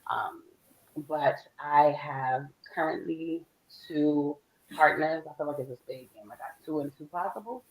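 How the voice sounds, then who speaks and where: A woman's voice: sits low, unhurried, poor audio. Candid woman in her forties. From Philadelphia. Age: 40s. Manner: open, candid, chatty with soft laughs. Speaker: guest. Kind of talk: casual panel chat.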